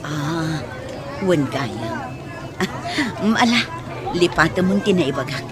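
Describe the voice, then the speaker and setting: A low-pitched Ilocano mother in a radio drama, acted by a woman. She is a nosy, evasive villager, heard over a clear background of people. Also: lively intonation, takes it slowly, a crowd in the background, noisy background. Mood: flustered.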